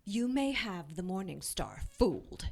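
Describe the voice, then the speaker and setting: A woman's voice: medium pitch, sing-song, vocal fry, heavily acted. A woman playing a proud, scheming female demon in an audio drama, melodramatic and desperate. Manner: melodramatic, drawn-out pleading.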